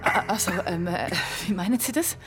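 An actress with a Swiss German accent playing a haunted, shaken woman in an audio drama, halting and earnest. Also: medium pitch, animated, natural voice. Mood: frightened. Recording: music behind the voice.

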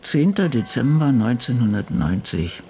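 A man playing an ageing, solitary woman crime novelist in a radio drama, musing in a deliberate, wry way. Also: mid-range voice, sing-song, warm tone, slight background noise. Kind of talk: literary radio drama monologue, reading diaries and drafts.

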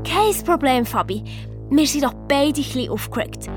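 A woman's voice: sits high, animated, moderate pace, over music. A woman voicing a rich, falsely sweet, scheming lady in a children's radio play. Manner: gushing, sprinkles in English endearments.

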